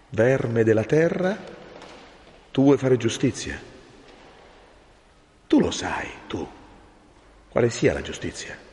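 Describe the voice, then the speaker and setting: A man's voice: lowish voice, a little mellow. A man voicing a solemn, severe old friar with grief in him, grave and admonishing like a preacher, in a dramatised novel reading. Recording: echoey room with long reverb.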